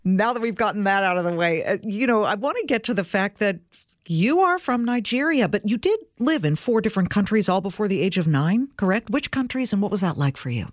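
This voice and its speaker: A woman's voice: medium pitch, lively intonation, fairly quick, no room sound, studio quality. A woman hosting an interview podcast, chatty and effusive as she introduces and questions her guest. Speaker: podcast host. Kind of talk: casual interview chat.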